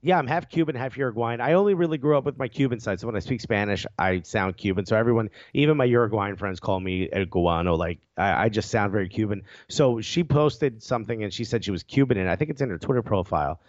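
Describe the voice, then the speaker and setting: A man's voice: lowish voice, fast, hardly any pauses, dry recording. Guy guesting on a podcast, jokey, laughs a lot, kinda muffled dry audio. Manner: chatty, laughs between jokes. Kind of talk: casual podcast chat.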